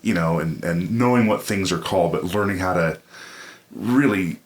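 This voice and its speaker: Very deep-voiced male podcast host. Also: moderate pace. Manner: friendly, affirming, talks in long summarizing turns. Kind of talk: casual interview chat.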